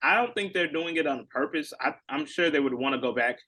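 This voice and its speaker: Casual male co-host, bright edge, slightly high voice, playful and chatty. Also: animated. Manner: teasing, talkative, drawn-out explanations. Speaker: podcast co-host. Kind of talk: casual podcast chat.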